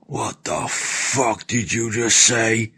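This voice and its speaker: A man playing a suspicious, condescending father sizing up a new boyfriend, in a slow and confrontational manner. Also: mid-range voice, fairly even intonation, sharp tone, husky, heavily acted. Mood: angry.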